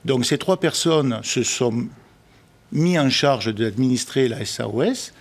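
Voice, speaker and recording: A man with a mid-pitched voice talks as a guest on a talk show. He lays out facts precisely and in a matter-of-fact way. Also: expressive, unhurried. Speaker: guest, former club president.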